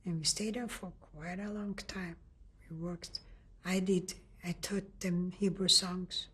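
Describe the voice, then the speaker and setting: Older woman from Lithuania, husky and halting. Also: fairly low pitch, steady tone, fairly bright tone. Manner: halting, searching for words with frequent restarts. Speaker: interviewee. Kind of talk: oral history testimony.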